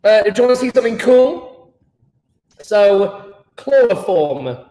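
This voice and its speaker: A man's voice: sits high, sing-song, a little slow, mellow, reverberant, rough recording. A British man teaching a live online chemistry lesson, playful and enthusiastic, joking with his pupils.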